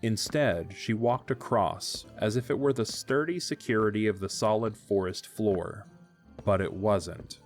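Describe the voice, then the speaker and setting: Low-voiced man narrating a story. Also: measured pace, soft background music. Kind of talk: scripted audio drama narration.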